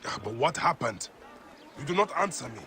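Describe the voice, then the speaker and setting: A man's voice: mid-range voice, big pitch swings, moderate pace. A man voicing a grave, vengeful warrior and devoted husband in a video game cutscene, speaking with solemn earnestness. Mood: questioning. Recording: reverberant hall, loud background noise.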